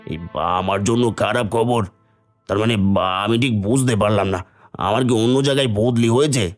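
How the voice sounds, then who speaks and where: A man playing a proud, self-concerned village schoolteacher in an animated moral story. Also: mid-range voice, a little fast, faint music behind, lightly acted. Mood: alarmed. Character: proud, stingy schoolteacher friend, later ruined.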